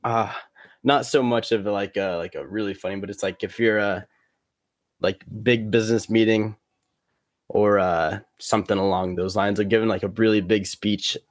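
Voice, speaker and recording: A man's voice: fairly low pitch, fairly even intonation, moderate pace, no room sound. A man in casual, joking conversation, talking in an informal, rambling way.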